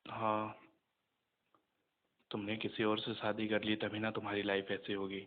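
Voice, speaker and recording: A young man talking casually on a recorded phone call, subdued and earnest, with hesitant pauses. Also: lowish voice, completely flat delivery.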